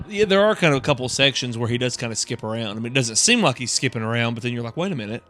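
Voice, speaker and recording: A man's voice: mid-range voice, lively intonation, very fast, a bit bright, faint music behind. A man co-hosting a podcast, talking in a casual, rambling, conversational way. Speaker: podcast co-host. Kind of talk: casual chat.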